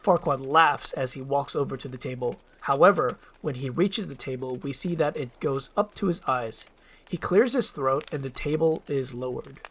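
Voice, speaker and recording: A woman's voice: extremely low pitch, moderate pace, slightly rough, poor audio. A woman reading scripted screenplay narration and stage directions as a narrator.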